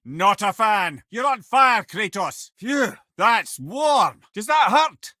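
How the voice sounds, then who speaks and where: Older Scottish advisor for a fantasy game: witty raconteur, colourful old-fashioned turns, expressive pitch, dry studio sound. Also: male voice, takes it slowly, no room sound, heavily acted. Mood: wry, urgent banter. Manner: wry, conversational, colourful old-fashioned phrasing. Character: witty, worldly older male advisor and storyteller. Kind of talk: video game character dialogue.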